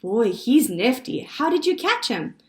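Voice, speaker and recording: A woman voicing an eager, boastful young son in a children's story, insistent and excitable. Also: very high voice, moderate pace, echoey room, pitched above the performer's own voice. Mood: excited.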